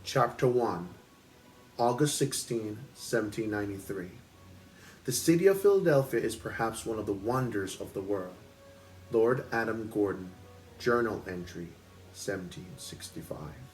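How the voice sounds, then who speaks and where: A man with a fairly low voice reads aloud as a book club host. His delivery is expressive storytelling, recorded at home with faint music underneath. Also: normal speed, poor audio.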